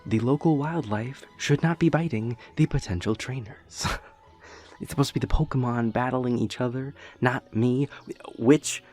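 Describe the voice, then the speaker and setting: A man's voice: mid-range voice, moderate pace, darkish tone, faint music behind, lightly acted. A young man playing a dorky, earnest boyfriend in an intimate audio roleplay, rambling hesitantly and nerdily with playful self-deprecation. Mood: sheepish, then playfully indignant. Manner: hesitant, rambling, nerdy explaining.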